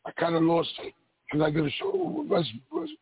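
A man from New York who has lost his voice, answering interview questions in a raspy, halting way with many pauses. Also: extremely high pitch, sing-song. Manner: halting, many ums and repeats. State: hoarse, lost voice. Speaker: guest wrestler. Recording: tinny phone line.

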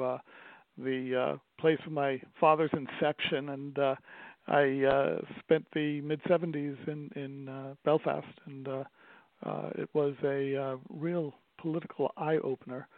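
A middle-aged man, a theater owner speaking as a radio interview guest, pausing often with fillers and restarting his sentences. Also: mid-range voice, gravelly, no echo. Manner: halting, many fillers and restarts. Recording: dry, tinny phone-like line.

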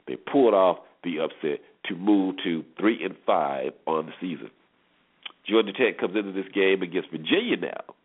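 An American man hosting a sports show, giving emphatic commentary and repeating phrases for effect, on a dull recording. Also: sits low. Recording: dull, lo-fi band-limited sound.

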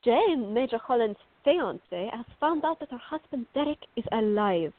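A woman's voice: on the high side, lively intonation, normal speed. A woman playing a fiery, stubborn, teasing young artist in a radio drama, with an emphatic, wry way of contradicting. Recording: thin, phone-like band-limited audio.

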